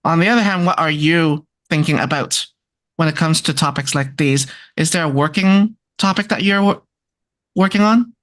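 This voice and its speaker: Scholarly speaker, medium pitch, informal discussion, hesitant, searching for words. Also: normal speed, fairly bright tone. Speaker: textbook author and music researcher.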